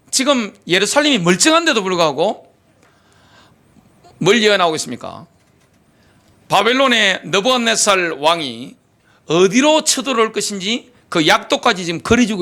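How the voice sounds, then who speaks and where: A middle-aged male pastor delivering an impassioned sermon, firing off rhetorical questions, then dropping to quiet asides. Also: high voice, very animated, moderate pace, pauses often. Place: church sanctuary.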